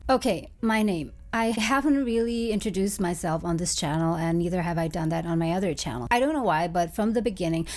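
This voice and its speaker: A woman's voice: lowish voice, a little mellow. A woman who grew up in Germany and Indonesia, a native German speaker, telling her story casually as a vlogger with explanatory asides for viewers. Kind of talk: casual personal storytelling to camera.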